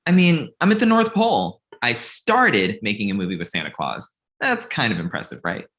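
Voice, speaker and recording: A man's voice: medium pitch, huge pitch swings, moderate pace, fairly bright tone. A man playing a pushy, earnest, easily flustered filmmaker in a comedic table read.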